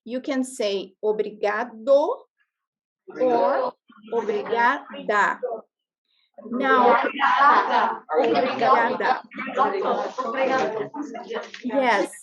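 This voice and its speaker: A woman's voice: on the high side, drawn-out words, long pauses, muffled recording. A woman from Brazil with a Brazilian Portuguese accent, speaking English as her second language and teaching a class, often checking in with "okay?". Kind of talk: interactive classroom lesson. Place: classroom with students.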